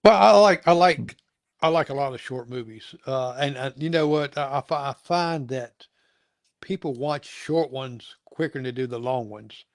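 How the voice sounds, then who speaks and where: A man's voice: medium pitch, normal speed, dry recording. A male talk show host chats casually with a guest in a folksy, meandering style. He circles back and repeats phrases as he goes, in a dry, close-sounding recording. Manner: rambling, folksy, repeats himself. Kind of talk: casual interview chat.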